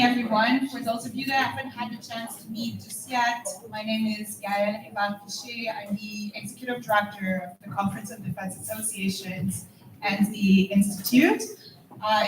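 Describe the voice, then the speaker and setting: Woman introducing a panel in a roomy hall. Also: mid-range voice. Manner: hesitant, filler-heavy introduction. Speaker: conference host, executive director. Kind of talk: formal event introduction. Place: conference hall. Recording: echoey hall, distant mic, background noise.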